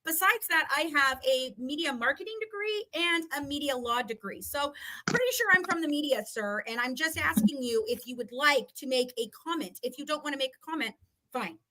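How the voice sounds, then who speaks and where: A woman's voice: high-pitched, expressive, fairly quick, bright, forceful tone, low-quality recording. A woman telling a story casually and with animation, emphatic and given to swearing, acting out both sides of conversations. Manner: emphatic, swearing, re-enacts dialogue. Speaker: guest recounting a legal dispute.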